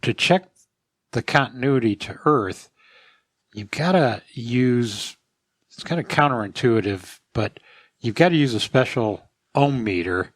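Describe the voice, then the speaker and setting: A man's voice: mid-range voice, expressive, a little slow, no room sound, studio quality. A man hosting a podcast as its expert, answering questions with conversational technical advice.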